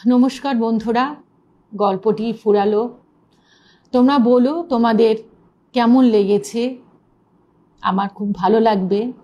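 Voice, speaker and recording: High-pitched woman reading a ghost story. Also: fairly even intonation, slow, long pauses, dark tone, highs cut off.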